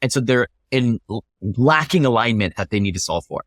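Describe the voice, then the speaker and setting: A man's voice: mid-range voice, expressive, moderate pace, no room sound, clean studio sound. A man speaking as an interview guest, explaining his thinking in an emphatic, conversational way with rhetorical questions. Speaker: interview guest, startup founder.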